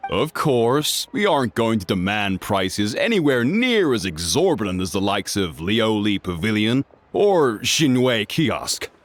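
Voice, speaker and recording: An actor playing a hearty, proud restaurant chef in a video game, with a welcoming and boastful manner. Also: male voice, fairly low pitch, big pitch swings, unhurried, a little hiss or hum.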